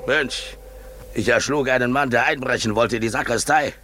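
An actor playing a fanatical, vengeful cobbler on church guard in a 1950s German radio drama, brooding and declamatory. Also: male voice, mid-range voice, normal speed, bright, forceful tone, close to an everyday voice. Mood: defiant scornful.

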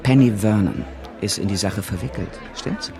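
A man's voice: lowish voice, normal speed, gravelly, crowd noise. An actor playing a shady, controlling man who presses people insistently, in a naturalistic radio drama. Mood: controlled pressure. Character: shady, pressuring man offering a dubious favour.

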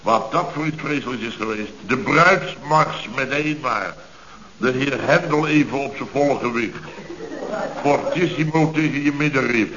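An actor playing a blustering, self-pitying middle-aged building contractor in a Dutch radio comedy, talking in exasperated, halting bursts. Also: male voice, medium pitch, close to an everyday voice. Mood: indignant. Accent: Dutch from the Netherlands. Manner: forceful, halting, exasperated storytelling in short bursts. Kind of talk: 1970s radio comedy acting. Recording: muffled old radio recording.

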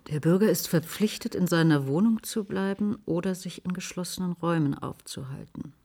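Radio drama: fatalistic female doctor, husky and gravelly, resigned. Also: fairly low pitch, brisk, dark tone.

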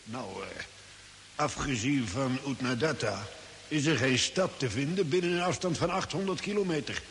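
Old desert hermit, lively-pitched, hissy recording. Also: male voice, moderate pace, vocal fry. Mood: matter-of-fact. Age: older. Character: old desert hermit dingo hunter, easygoing and hospitable. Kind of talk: radio drama acting. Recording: hissy old radio recording with long reverb.

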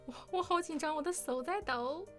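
A woman's voice: sits high, a little fast. A woman hosting an informal live interview as the presenter of an online stream. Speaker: livestream host interviewing voice actors. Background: music.

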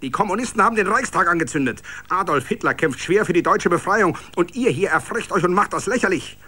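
A man's voice: high-pitched, expressive, fairly quick, sharp tone, pitched above the performer's own voice, heavily acted. A man voicing a grim, bullying stormtrooper leader who barks like a drill sergeant in a radio story. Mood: threatening. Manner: harsh drill-sergeant barking.

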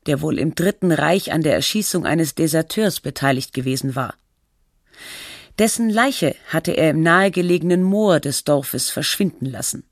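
A woman playing the sober, measured narrator of a literary radio documentary. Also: fairly low pitch, no room sound, studio quality. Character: composed documentary narrator of a fictional radio feature.

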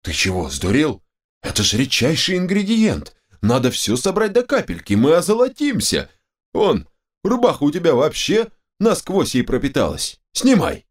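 A man voicing an excitable, bossy, greedy medic in a fantasy audiobook. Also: a little high, moderate pace, voice pushed up. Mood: greedy excitement.

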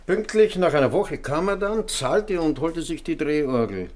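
An actor playing a courteous, wry and slightly crafty barrel-organ shopkeeper in a German radio crime drama, with a polite, hesitant manner. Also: male voice, on the high side, lively intonation, moderate pace, darkish tone, lightly acted. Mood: helpful, confiding.

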